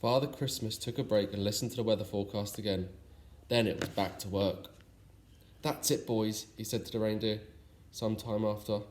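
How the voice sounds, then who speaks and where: A man's voice: on the low side, fairly even intonation, brisk, darkish tone. Man reading a children's story, noisy recording. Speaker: story reader.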